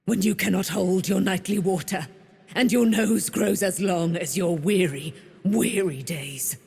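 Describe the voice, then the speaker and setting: A slow, grand immortal warrior woman. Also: fairly low pitch, gravelly, darkish tone, breathy edge, no echo, exaggerated voice. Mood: menacing. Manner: grand, solemn, formal archaic phrasing. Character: proud immortal warrior woman, noble holy daughter of a moon goddess. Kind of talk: theatrical fantasy game voice acting.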